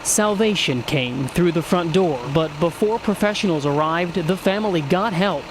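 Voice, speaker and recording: A man narrating a scripted TV news story as a reporter, in a dramatic, measured storytelling way with pauses. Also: sits high, background noise.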